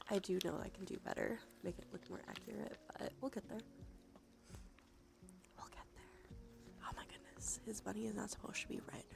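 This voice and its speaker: Woman doing chatty asmr stream, creaky breathy voice drifting into whisper, music behind. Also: mid-range voice. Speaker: livestream host. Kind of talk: casual ASMR stream chat. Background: background music.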